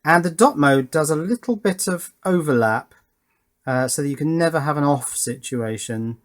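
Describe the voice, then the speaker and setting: A man's voice: fairly high pitch, lively intonation, moderate pace. A man giving a casual, unscripted hands-on demonstration as an electronics hobbyist, thinking aloud and hesitating as he goes.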